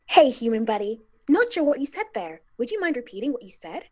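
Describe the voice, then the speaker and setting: A woman's voice: on the high side, big pitch swings, brisk. A woman at a script table read voicing a chirpy, unhelpful talking phone assistant.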